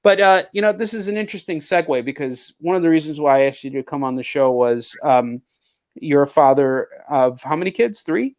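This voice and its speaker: A man's voice: fairly high pitch, fairly quick. A man hosting a casual interview show, talking in a blunt, rambling way with plenty of swearing. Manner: rambling, heavily profane, blunt. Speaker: show host. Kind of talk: casual video chat interview.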